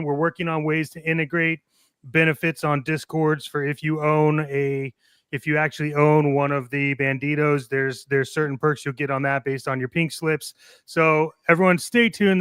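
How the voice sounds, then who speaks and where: A man's voice: fairly high pitch, flat delivery, normal speed, fairly bright tone, smooth, no echo, studio quality. A man hosting a casual livestream, talking to the audience and signing off. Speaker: show host. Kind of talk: casual livestream sign-off talk.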